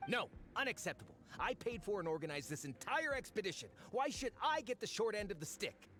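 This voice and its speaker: A greedy, arrogant expedition patron in a game. He sounds indignant and haughty, his fairly high voice leaping all over. Faint game music sits behind him. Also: sing-song, brisk, sharp tone, full character voice.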